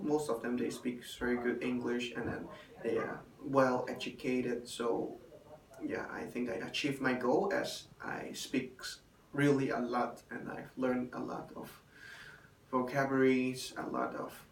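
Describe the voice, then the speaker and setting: Young guy with chinese as first language, stop-start talk, sounds far off in an echoey room. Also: medium pitch. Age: young adult. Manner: halting, many fillers and restarts. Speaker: hotel intern. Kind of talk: spoken self-evaluation. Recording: roomy, distant, faint room noise.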